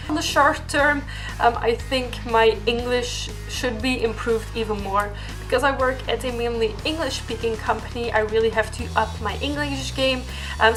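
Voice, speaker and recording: High-voiced young woman. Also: a bit bright, rough recording. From Belgium. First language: Dutch. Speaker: vlogger. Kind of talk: casual question-and-answer vlog to camera.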